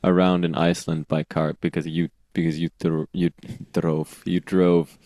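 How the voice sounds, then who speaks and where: A man's voice: deep voice, level tone, moderate pace, dark tone, no echo, studio quality. A man co-hosting a podcast in casual chat, playful and laughing often as he quotes old sayings. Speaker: podcast co-host. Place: home studio on video call. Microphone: video call setup.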